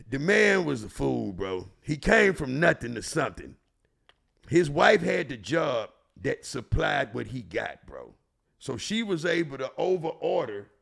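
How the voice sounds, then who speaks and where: A man with a heavy gravel and fry in his voice speaks in a Houston, Texas accent. He tells stories casually, in slangy, profane, emphatic street talk that circles back on phrases. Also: a little high, fairly bright tone. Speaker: storyteller and show regular.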